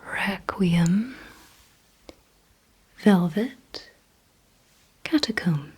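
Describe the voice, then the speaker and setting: Slow, breathy whispering woman. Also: medium pitch, expressive, low-quality recording. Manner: slow, deliberate, gently commanding instructions. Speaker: ASMR creator playing a vampire giving memory and attention tests. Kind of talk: ASMR whispered roleplay.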